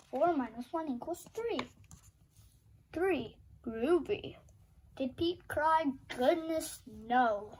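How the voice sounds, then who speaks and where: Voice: high voice, low-quality recording. A young reader playfully reading a children's picture book aloud and putting questions to the listener. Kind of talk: children's storybook read-aloud with sung refrains.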